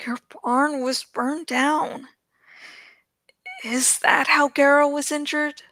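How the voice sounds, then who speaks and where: A woman voicing a brisk, kindly, scolding village healer in a storybook reading, with a firm, motherly manner. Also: high-pitched, steady tone, pushed and bright, no echo, full character voice. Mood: matter-of-fact.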